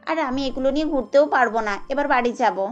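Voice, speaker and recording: A weary female bangle vendor performed by a woman in a cartoon tale. Her high voice coaxes and hawks, with light music behind. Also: racing, muffled recording, natural voice. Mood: coaxing, tired. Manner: coaxing, calling out wares. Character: weary female street bangle seller, coaxing customers.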